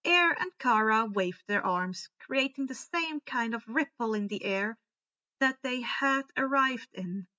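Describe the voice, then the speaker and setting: Woman reading a story out loud, high bright voice, expressive, bit muffled home mic. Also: a little slow. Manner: expressive, with occasional stumbles. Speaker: amateur audiobook reader. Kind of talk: reading fan fiction aloud with character voices.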